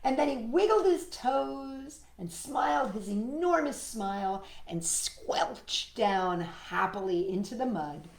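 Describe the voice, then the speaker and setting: A woman's voice: fairly high pitch, animated, takes it slowly, gravelly, hardly any pauses, roomy sound, low-quality recording. A woman hosting a storytime for young children, reading and singing in a playful way and addressing her listeners directly. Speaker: children's storytime host with a puppet sidekick.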